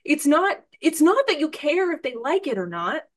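High-voiced woman hosting a casual podcast. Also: very animated, normal speed, no echo, clean studio sound. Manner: effusive, informal, thinks out loud. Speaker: podcast host interviewing a guest.

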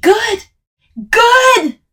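A wounded, defiant woman biting back in an audiobook scene, played by a female narrator. Her pitch runs high and her delivery is sharp-tongued and incredulous. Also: expressive, brisk, far from the mic, lightly acted. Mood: shouting, defiant. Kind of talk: dramatic audiobook dialogue.

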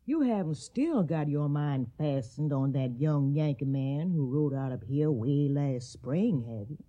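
An actress playing a caring, plainspoken older household mammy with a Southern accent and a folksy, gently scolding manner in a radio drama. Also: female voice, low voice, animated, measured pace, lo-fi sound.

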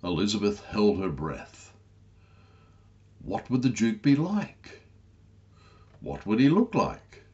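Slow, deep-voiced male storyteller. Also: wildly swooping pitch, mellow, muffled recording. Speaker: author reading own story. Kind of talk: scripted comic storybook narration.

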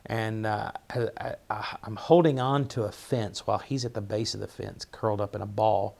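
A middle-aged man with an Appalachian accent, telling personal stories as a guest in an interview, halting and reflective, often repeating words. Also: on the low side, steady tone, creaky, darkish tone. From southwest Virginia, United States. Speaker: pastor, podcast guest.

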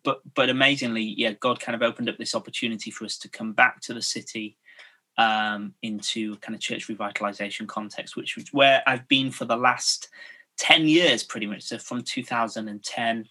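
Bright-voiced pastor. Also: male voice, medium pitch, fairly even intonation, normal speed. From North Yorkshire, England. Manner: modest, self-deprecating, many fillers. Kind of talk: casual interview chat.

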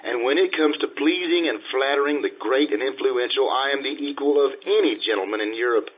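An actor playing a vain forty-year-old social climber posing as a gentleman, pompous and fussy, in the theatrical style of old-time radio drama. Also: mid-range voice, a little fast, narrow, tinny audio, lightly acted. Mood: boastful, confiding.

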